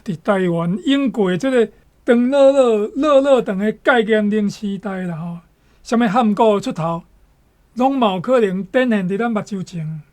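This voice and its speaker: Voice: medium pitch, moderate pace, darkish tone. A commentator with a Taiwanese accent, speaking in a reflective style.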